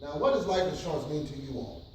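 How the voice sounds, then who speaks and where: A man's voice: fairly high pitch, quick pace, a little mellow, distant mic, a little hiss or hum. Hesitant man in an echoey hall. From New York City. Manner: hesitant, many ums, audience call-and-response. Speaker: pastor giving an insurance workshop. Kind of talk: casual church workshop talk. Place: church hall with audience.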